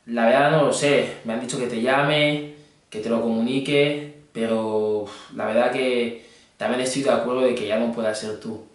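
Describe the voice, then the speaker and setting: A man's voice: mid-range voice, fairly even intonation, normal speed. Young man in a very echoey room. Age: young adult. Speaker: prank caller, fitness trainer. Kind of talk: casual prank phone call chat. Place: indoor green screen studio. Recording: very echoey room, distant mic.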